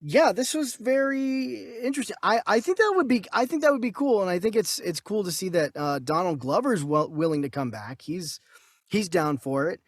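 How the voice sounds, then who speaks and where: A man's voice: high voice, expressive, normal speed, dry recording, clean studio sound. A man co-hosting a casual movie news podcast, talking in a blunt, informal, conversational way. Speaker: podcast co-host.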